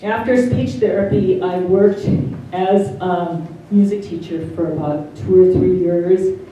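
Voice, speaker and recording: A middle-aged woman giving a personal testimony, speaking slowly and haltingly with carefully over-articulated consonants. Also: lowish voice, fairly even intonation, warm tone, dull audio. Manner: slow and halting, many pauses. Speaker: interviewee. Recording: roomy, distant mic, faint hiss.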